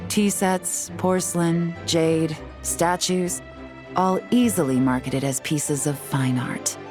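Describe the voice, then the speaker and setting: Composed female spy, lowish voice, calm measured delivery, soft game music. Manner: calm, measured, dryly explanatory. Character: cool, composed female intelligence agent. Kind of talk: video game voice acting.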